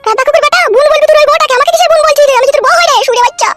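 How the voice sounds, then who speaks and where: Shrill, squeaky, scolding cartoon wife. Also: male voice, loud music behind the voice. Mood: furious. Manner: scolding, rapid-fire quarrelling. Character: shrill, foul-mouthed, jealous wife in comedy cartoon. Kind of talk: amateur comedy cartoon dialogue.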